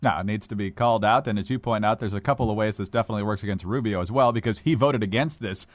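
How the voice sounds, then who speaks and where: An American man with a fairly low voice talks politics as a co-host. His delivery is measured and matter-of-fact, and the sound is dry with very little room in it. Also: no echo. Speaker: podcast co-host. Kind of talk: conversational political commentary.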